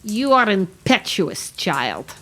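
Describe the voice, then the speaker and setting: A woman playing an ancient, sly, haughty witch in an audio drama, speaking slowly and formally. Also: mid-range voice, very animated, a bit bright, exaggerated voice, rough recording. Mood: haughty, unimpressed. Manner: slow, formal, haughty speech with cackles.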